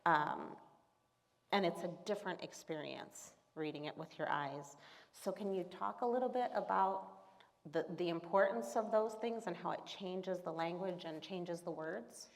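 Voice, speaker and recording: A woman interviewing an author onstage, asking measured, thoughtful questions. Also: fairly low pitch. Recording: long reverb in a hall with an audience.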